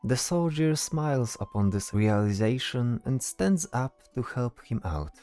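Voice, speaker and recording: A man's voice: medium pitch, big pitch swings, unhurried, dark tone, faint music behind. A man reading scripted third-person narration for a fantasy story.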